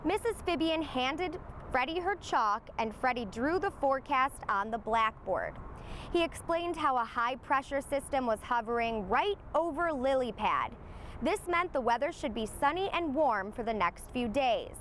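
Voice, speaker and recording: A woman reading a children's storybook aloud in an expressive storytelling manner. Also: high voice, normal speed, slight background noise. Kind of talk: scripted storybook narration for young children.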